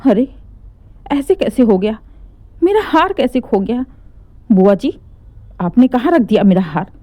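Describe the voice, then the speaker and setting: A woman's voice: fairly high pitch, sing-song, a little slow, mellow, dry recording. A woman voicing a stubborn, aggrieved young daughter-in-law, insistent and accusing, in a family story. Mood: distraught, accusing.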